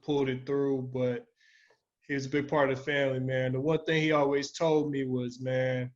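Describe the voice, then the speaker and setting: A man's voice: mid-range voice, level tone, moderate pace. A man co-hosting a podcast in casual, slangy talk with free swearing, on dull lo-fi audio. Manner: informal and slangy, swears freely. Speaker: podcast co-host.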